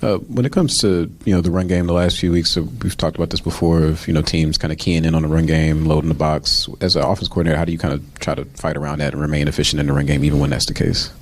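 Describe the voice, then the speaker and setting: A man's voice: a bass voice, steady tone, fast, hardly any pauses, dry recording. A man working as a sports reporter, asking a press question with many filler words.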